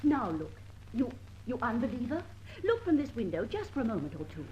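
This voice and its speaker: Insistent British lady accomplice, high and husky. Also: sing-song, a little fast, a little mellow, full character voice. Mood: exasperated, insistent. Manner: insistent, bantering, indignant. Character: eager, observant young lady accomplice, easily exasperated. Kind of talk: old-time radio detective drama acting. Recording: dull old radio recording.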